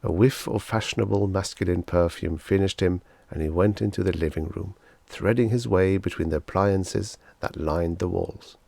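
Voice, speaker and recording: Deep voiced guy reading a story, bit of fry in the voice, super dry close sound. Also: normal speed, a little mellow, dry recording. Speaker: audiobook narrator. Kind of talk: scripted story narration.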